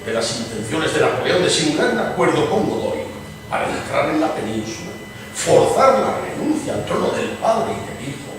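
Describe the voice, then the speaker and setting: A man's voice: a little high, very animated, normal speed. Man playing emphatic lord, reverberant hall. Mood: grave, emphatic. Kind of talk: dramatized radio theatre reading. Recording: reverberant noisy hall, distant mic.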